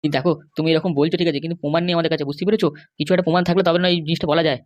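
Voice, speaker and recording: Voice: mid-range voice, fairly even intonation, rapid-fire, no echo, lightly acted. A performer voicing a compliant but grumbling, worried Bengali husband and father in a comedy cartoon, with a placating, hesitant manner. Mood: doubtful. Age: middle-aged. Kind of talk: animated comedy cartoon dialogue.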